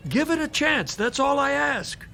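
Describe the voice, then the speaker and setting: A hospital doctor in a radio play, with a notably high voice. He is brisk, dryly sardonic and persuasive, over faint music. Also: animated, sharp tone, full character voice. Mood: persuasive, insistent. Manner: dry, sardonic, insistent. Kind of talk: radio drama acting.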